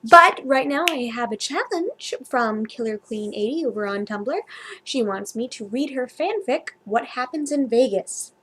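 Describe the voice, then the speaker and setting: A woman's voice: a little high, lively intonation, unhurried, fairly bright tone, slightly rough, rough recording. A woman vlogger chatting casually and reading a story aloud informally, sometimes stumbling over her words.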